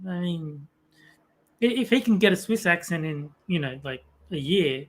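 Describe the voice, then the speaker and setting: Measured woman co-host from Australia. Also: on the low side, expressive. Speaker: podcast co-host. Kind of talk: casual chat.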